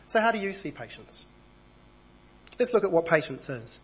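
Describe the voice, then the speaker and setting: A man over thirty-five, husky from a cold, preaching an evening church sermon in a conversational way and reading passages aloud. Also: sits high, big pitch swings, normal speed, muffled recording. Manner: conversational, reads passages aloud, small asides.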